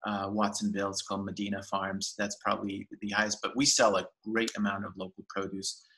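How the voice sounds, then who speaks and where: A man in his fifties speaks from a home room over a laptop webcam. His voice sits low and fairly flat, with a bright, forward edge. He rambles eagerly, full of fillers and restarts. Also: brisk, a little hiss or hum. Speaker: farmers market general manager. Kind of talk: casual video-call interview. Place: home room with shelves, indoors. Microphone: laptop webcam on video call.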